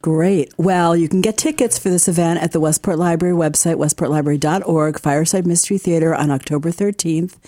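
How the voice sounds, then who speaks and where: A woman's voice: sits low, a little fast, hardly any pauses, mellow, dry recording, clean studio sound. A woman co-hosting a live radio interview show, speaking as the presenter. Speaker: radio co-host.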